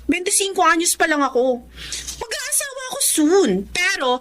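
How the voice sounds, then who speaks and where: A woman playing a hardworking, bossy 25-year-old eldest daughter in a Filipino drama, with expressive, emphatic delivery. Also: very high voice, normal speed, a little hiss or hum, exaggerated voice. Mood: excited, playful. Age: young adult. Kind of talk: Filipino radio-style drama acting.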